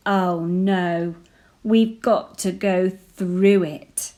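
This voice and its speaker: Woman teacher reading a picture book to kids: very slow, lowish voice, playful, whispers sound words. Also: steady tone, mellow, a little hiss or hum. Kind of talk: expressive read-aloud storytelling for children.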